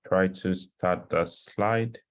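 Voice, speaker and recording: A man giving an explanatory presentation on a video call, haltingly searching for words. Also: low voice, steady tone, clean tone, lo-fi sound. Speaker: presenter of a learning module. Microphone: headset on a video call.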